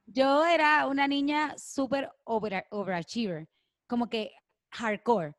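A woman's voice: fairly high pitch, fairly even intonation, unhurried, no echo, clean studio sound. A woman from Puerto Rico with a Puerto Rican accent, a podcast guest speaking intensely and mixing in English. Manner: intense, emphatic, mixes in English. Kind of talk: casual interview chat.

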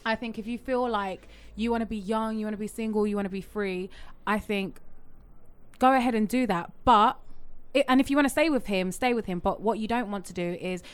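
A woman's voice: mid-range voice, a little fast, clear, resonant tone. A woman co-hosting a casual podcast, giving frank advice drawn from her own experience. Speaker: podcast co-host. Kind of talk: casual chat.

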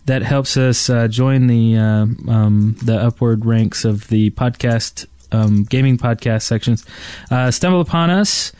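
A man's voice: medium pitch, measured pace, a little mellow, clear, resonant tone, no echo. A man hosting a podcast, chatting casually. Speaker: podcast host.

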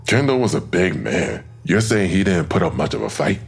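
An actor playing a terse, matter-of-fact police detective in an audio drama. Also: male voice, low voice, very animated, moderate pace, gravelly, breathy edge, heavily acted. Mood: terse, serious. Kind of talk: full-cast audio drama acting.

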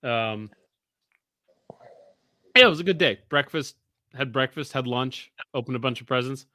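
Bright-voiced man in his forties, rambling. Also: mid-range voice, normal speed, no room sound. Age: 40s. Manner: rambling storyteller, swears freely. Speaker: podcast host. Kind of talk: casual podcast banter.

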